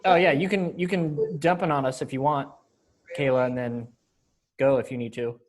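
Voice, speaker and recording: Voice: mid-range voice, steady tone, normal speed. Upbeat, encouraging organizer on a video call. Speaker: event organizer running a readers theater rehearsal. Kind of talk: casual group video-call chat.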